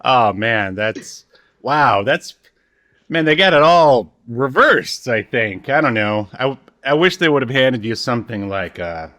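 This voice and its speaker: Guy cohosting a podcast, avg pitched voice, kinda rambly and dry humored, pokes fun at himself. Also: lively intonation, a little slow, bright, forceful tone. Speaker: podcast host. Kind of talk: casual chat.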